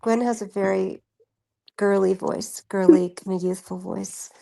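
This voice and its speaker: A middle-aged woman with a young-sounding voice, chatting in a soft, easygoing way. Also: medium pitch, fairly even intonation, a little slow, vocal fry. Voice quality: youthful-sounding voice. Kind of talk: casual chat.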